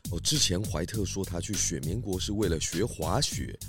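Pig detective, male, lowish and very expressive, genial but authoritative, for a kids' mystery, music underneath. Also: moderate pace. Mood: pondering. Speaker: lead detective character. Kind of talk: children's mystery audio drama.

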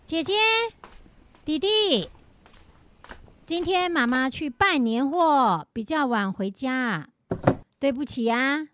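A woman playing a patient, gently teasing mother in a children's radio drama. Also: sits high, animated, slow, long pauses, heavily acted. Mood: calling. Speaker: school principal.

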